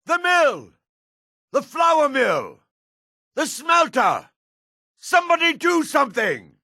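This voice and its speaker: A man voicing a gruff, guarded dark elf townsman in a fantasy video game, with a raspy, measured, theatrical delivery. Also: extremely high pitch, wildly swooping pitch, no room sound, clean studio sound, full character voice. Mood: panicked shouting. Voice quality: raspy, gravelly.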